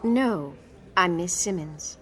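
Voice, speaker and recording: An actress playing a solicitous, formal, eager nurse in a radio drama. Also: female voice, on the low side, animated, slow, a crowd in the background. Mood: courteous. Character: bright, courteous, eager female nurse.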